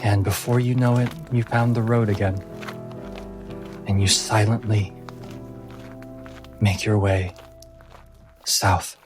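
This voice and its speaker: Deep voiced guy running a tabletop game, faint music behind him. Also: level tone, slow pace, long pauses. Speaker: game master. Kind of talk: tabletop game narration and casual recap.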